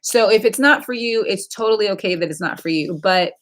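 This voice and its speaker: A woman's voice: medium pitch, a little fast, fairly bright tone. A woman in her thirties hosting a casual livestream, chatting informally and answering questions in a rambling way. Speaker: livestream host.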